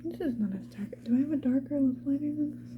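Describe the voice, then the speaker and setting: A woman speaking mostly in a breathy whisper, chatting casually to the viewer in an ASMR get-ready style. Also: high voice, fast, narrow, tinny audio, noisy background. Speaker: ASMR video creator.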